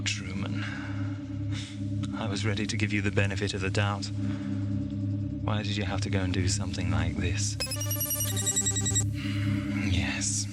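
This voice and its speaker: A low male voice over loud music, a man playing a hardened time traveller in an audio drama. He is brooding, suspicious and bitter, speaking with theatrical intensity. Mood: grim, betrayed. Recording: music-backed amateur 1980s audio drama.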